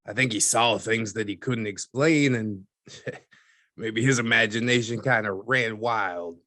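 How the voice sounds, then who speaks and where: A man playing a skeptical, folksy newspaperman as a podcast guest, speaking in a measured, wry and slightly condescending way. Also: lowish voice, bright, forceful tone, no room sound, clean studio sound. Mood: wry skepticism. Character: skeptical, folksy, wryly dismissive male newspaperman. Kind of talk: scripted podcast interview drama.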